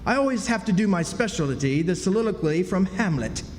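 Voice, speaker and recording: Man playing a high-voiced, grandiose phony duke. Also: animated, fairly quick, slight background noise. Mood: pompous. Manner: grandiose, florid stage declamation. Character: theatrical, self-pitying con man posing as a duke. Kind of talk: stage radio drama acting. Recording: echoey hall stage performance, music behind.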